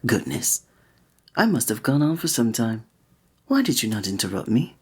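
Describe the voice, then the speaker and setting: Guy doing a gentle flirty innkeeper for audio roleplay, breathy, really expressive pitch, talks all formal and olde worlde. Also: normal speed. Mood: warm, curious. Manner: formal old-fashioned diction, gentle and attentive. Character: kindly, flirty male village innkeeper, courteous and tender. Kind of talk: scripted roleplay audio drama, one-sided conversation with the listener.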